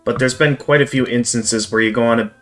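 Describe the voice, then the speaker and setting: Guy hosting a game stream, middle voice, calm and measured, faint music under. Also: mid-range voice. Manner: measured, lots of "like" and "again". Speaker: streamer host. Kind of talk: casual livestream chat.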